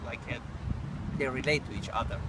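A young man from Iran, Persian by birth, talks through his answers in careful, halting English. He is interviewed outdoors in a city park on a phone camera, with loud traffic and wind noise all around. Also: medium pitch. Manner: halting, many fillers and restarts. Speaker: guest. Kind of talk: casual interview.

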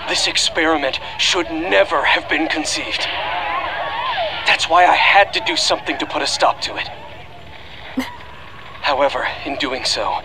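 A middle-aged actor playing a remorseful scientist father in an anime game, pleading gravely and deliberately. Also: on the high side, background noise. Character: desperate, remorseful scientist father recording a last message.

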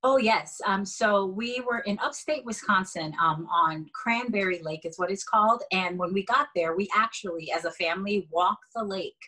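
A woman's voice: medium pitch, moderate pace, hardly any pauses, pushed and bright, rough recording. A young woman from Haiti, a stage actor, chatting as a guest over a video call from a bedroom, with frequent fillers and laughs. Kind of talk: casual video-call interview. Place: bedroom indoors.

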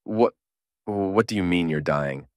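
A man's voice: deep voice, a little slow, dry recording, studio quality. A man voicing a stubborn, protective teenage boy in a fanfiction audiobook, pressing insistently with questions. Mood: shaken. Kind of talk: podfic voice acting.

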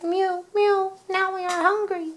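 Vain, insistent storybook cats, played by a woman, high-pitched and clamoring. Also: flat delivery, unhurried, fairly bright tone, slight background noise. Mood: pleading. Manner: insistent, mewing exclamations. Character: hordes of small, clamoring, quarrelsome vain cats. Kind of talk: storybook character voices.

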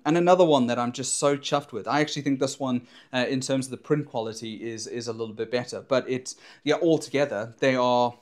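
Rambling, enthusiastic young man. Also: medium pitch. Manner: rambling, many fillers and restarts, animated hand gestures. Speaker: maker and drone surveyor vlogger. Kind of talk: casual enthusiastic talk to camera. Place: indoor home studio in front of a backdrop. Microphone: clip-on lapel mic.

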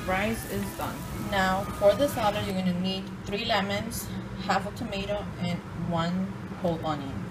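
A woman giving a casual home cooking tutorial, talking through each step in plain, halting instructions. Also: mid-range voice. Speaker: home cook. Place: home kitchen. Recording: distant phone audio with loud kitchen noise.

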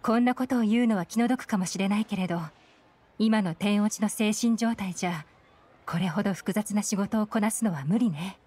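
A woman's voice: medium pitch, normal speed, mellow, lightly acted. An actress playing a cool, shrewd, assured young woman in an anime video game, poised and matter-of-fact. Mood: frank, serious.

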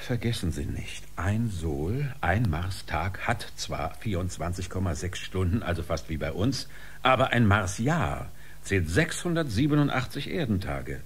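An actor playing a cold, stern spaceship commander in a science fiction radio play, hard and dismissive. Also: male voice, low voice, very animated, unhurried, a little hiss or hum. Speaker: actor in an audio drama.

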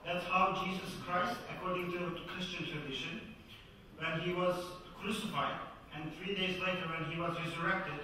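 Turkish-accented guy lecturing, high sharp voice, cracks jokes, super echoey hall, mic way off. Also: slight background noise. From Turkey. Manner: humorous, jokes with the audience. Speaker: guest lecturer. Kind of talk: university lecture. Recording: echoey hall recorded from far off.

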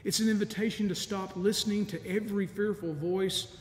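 A middle-aged man preaching a sermon as a deacon, measured and earnest, with soft laughs. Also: steady tone. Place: large empty church sanctuary. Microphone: stand mic in front of lectern, camera at a distance. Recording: echoey church with long reverb, faint rumble.

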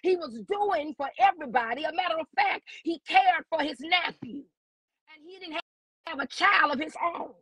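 Fervent woman preaching, high voice. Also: moderate pace, a bit bright, no echo. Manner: fervent, repeats lines, rising declarations. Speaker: guest prophetess. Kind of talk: preaching a prophetic word on a live stream. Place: online social media live stream.

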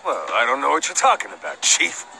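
An actor voicing a gruff, greedy market butcher in a video game, with a gravelly voice and a condescending, haggling manner. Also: male voice, medium pitch, very animated, fairly quick, pushed and bright, heavily acted, low-quality recording. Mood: gruff, scornful. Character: gruff, greedy male pork butcher running a market stall. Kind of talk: animated video game acting.

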